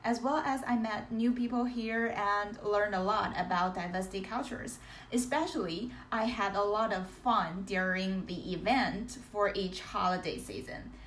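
A woman's voice: mid-range voice, unhurried, fairly bright tone, a little hiss or hum. A woman from China, a master's student speaking in a friendly, grateful way. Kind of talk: casual testimonial.

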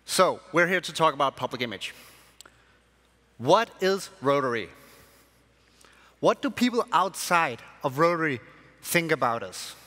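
A young man, born in Sri Lanka and raised in Denmark, giving a keynote speech to a convention audience from a large hall stage with measured, emphatic phrasing. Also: a little high, expressive, pushed and bright. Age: young adult. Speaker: conference speaker. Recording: long hall reverb.

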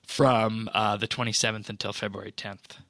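Gravelly, bright-toned man co-hosting. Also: on the low side, little pitch movement, no room sound. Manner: measured, hesitant, drawn-out phrasing. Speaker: podcast co-host. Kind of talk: casual gaming news chat.

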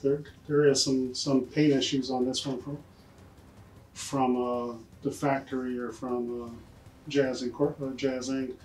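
A man's voice: mid-range voice, little pitch movement, unhurried, a little mellow, rough recording. A man in his forties giving an enthusiastic, casual unboxing commentary in a collection room, exclaiming over details with mild swearing. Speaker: collectibles vlogger. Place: indoor collection room with display shelves.

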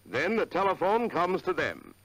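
A man's voice: high voice, huge pitch swings, moderate pace, a bit bright, low-quality recording. A man with an American accent narrating a 1940s educational film in an earnest, polished, formal way on a vintage soundtrack.

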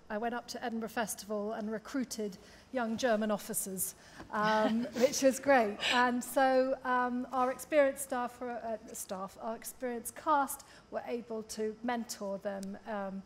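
A woman speaking informally and chattily as a panellist, telling anecdotes. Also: on the high side. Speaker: panellist, radio drama director. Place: theatre stage, seated panel. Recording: live stage, clear background noise.

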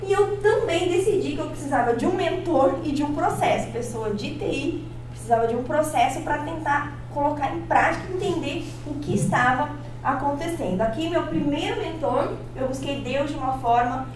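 A Brazilian woman in her thirties with a Brazilian Portuguese accent, giving a personal-story motivational talk on stage, expressive and often checking in with the audience. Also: high voice, moderate pace, recorded from a distance, slight background noise. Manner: expressive, addresses the audience with frequent tag questions. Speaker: motivational speaker. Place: large auditorium on stage. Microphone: handheld mic, filmed by far camera. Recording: echoey hall, from afar.

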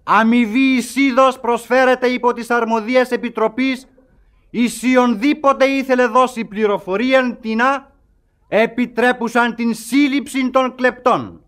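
An actor playing a pompous town crier who declaims an official proclamation in a radio comedy, halting over long words. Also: male voice, extremely high pitch, steady tone, fairly bright tone.

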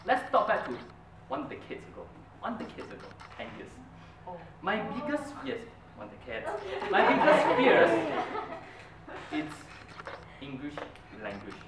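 A young man whose first language is Mandarin tells his story with a lively, rising-and-falling voice. He is heard from a distance in a roomy meeting hall, with a steady hiss of room noise behind him. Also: sits high, measured pace, a loud crowd. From Brunei. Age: young adult. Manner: earnest storytelling, with an animated, emphatic delivery. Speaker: club speaker. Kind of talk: prepared speech. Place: meeting room, medium size, indoors. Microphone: far camera.

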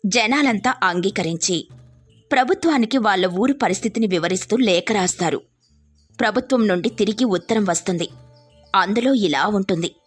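Woman, scripted story narration, slightly rough-edged voice, mid pitch, light music. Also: moderate pace.

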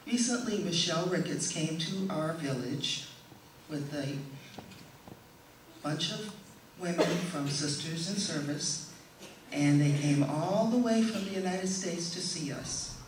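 A middle-aged woman giving a prepared testimonial speech to an audience in a measured, deliberate way. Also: fairly low pitch. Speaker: speaker giving a presentation. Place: indoor stage in a hall, before an audience. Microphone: stand microphone, heard from a distant camera. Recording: echoey hall, distant camera, faint noise.

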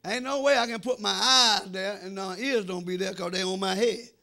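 A middle-aged man preaching an animated, emphatic sermon, repeating phrases and calling for response in a church, on a handheld microphone. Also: high voice, normal speed, vocal fry. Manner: emphatic, repeating phrases, swinging between shouts and quieter asides. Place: church sanctuary, speaking from the front.

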